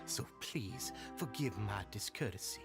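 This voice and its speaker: A man's voice: fairly low pitch, animated, measured pace, vocal fry. An actor voicing an obsequious, formal henchman in a video game, politely insinuating. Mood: smug, ingratiating. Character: smooth-talking, falsely courteous male villain henchman. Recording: game audio with music underneath.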